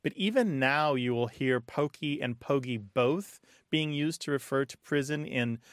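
A man's voice: medium pitch, a little slow, no room sound, clean studio sound. A man co-hosting a call-in show, casually explaining things in a conversational way. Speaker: radio show co-host.

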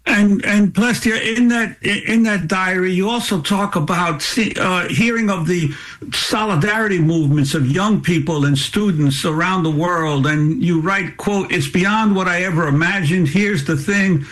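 A man interviewing a guest on a news program, asking questions in a halting way with restarts. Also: high voice, fairly bright tone.

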